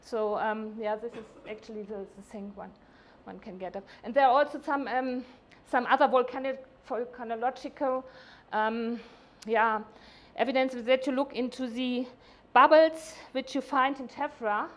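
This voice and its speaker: High-pitched woman, halting presenter. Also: a bit bright. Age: middle-aged. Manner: hesitant, halting, self-correcting delivery. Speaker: scientist presenting at a workshop. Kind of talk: conference presentation. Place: indoor workshop meeting room.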